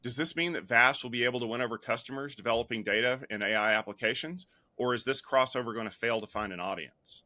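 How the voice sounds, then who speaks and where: A man's voice: mid-range voice, quick pace, few pauses, sharp tone. A man presenting scripted news as the host of a show.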